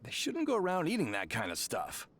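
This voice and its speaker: A man playing a pondering, hesitant villager in a fantasy video game, with a creaky, husky voice. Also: fairly high pitch, wildly swooping pitch, fairly quick, a bit bright, heavily acted. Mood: musing. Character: animated, musing male villager in a fantasy game.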